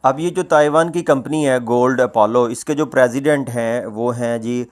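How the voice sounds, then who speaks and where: A man presenting informal, explanatory news commentary, often pausing mid-sentence and leaning on repeated filler phrases. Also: mid-range voice. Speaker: presenter of a world news roundup.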